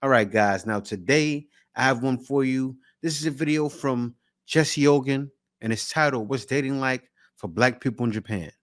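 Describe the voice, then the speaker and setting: A man hosting a reaction channel, talking straight to his viewers in a relaxed, casual way. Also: medium pitch, moderate pace, few pauses. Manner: relaxed, direct talk to viewers.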